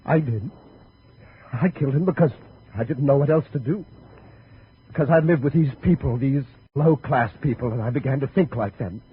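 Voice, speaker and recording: A man's voice: mid-range voice, moderate pace, pauses often, breathy edge, no room sound. A man playing a weak, snobbish, self-pitying man of good family in an overwrought radio melodrama. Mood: distraught, defiant. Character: distraught, snobbish, weak-willed rich man confessing. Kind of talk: 1950s radio melodrama acting. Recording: tinny, noisy 1950 radio broadcast.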